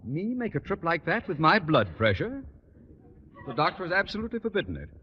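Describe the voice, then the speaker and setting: A man's voice: fairly high pitch, big pitch swings. An American man acting a pompous, grudging middle-aged principal in an old radio sitcom, speaking formally. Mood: blustery, self-pitying. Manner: formal, pompous, halting when embarrassed. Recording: muffled, hissy old radio broadcast.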